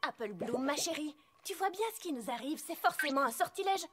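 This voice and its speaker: A woman's voice: high voice, expressive, brisk. An actress voicing an earnest, bookish young female unicorn in a French cartoon dub, speaking articulately and expressively. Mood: puzzled, explaining. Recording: loud music behind the voice.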